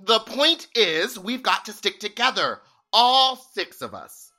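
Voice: mid-range voice, big pitch swings, takes it slowly, sharp tone, exaggerated voice. An actor playing an earnest, melodramatic drag queen contestant in a campy comedic radio play, speaking with breathless theatrical sincerity. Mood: pleading. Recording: music behind the voice.

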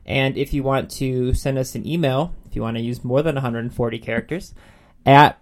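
A man's voice: mid-range voice, steady tone, a little fast. Male podcast co-host, casual book talk, chatty and self-deprecating, mid-range voice.

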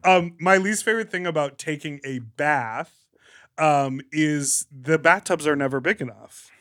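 A man's voice: a little high, a little slow, a bit bright. A man co-hosting a podcast with casual, playful, chatty banter. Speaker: podcast co-host.

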